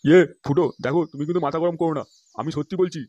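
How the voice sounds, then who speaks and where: A man's voice: fairly high pitch, steady tone, racing, warm tone, no room sound, close to an everyday voice. A man voicing a gossipy, meddling older neighbourhood uncle in a comedy cartoon, cautioning and insinuating. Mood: warning.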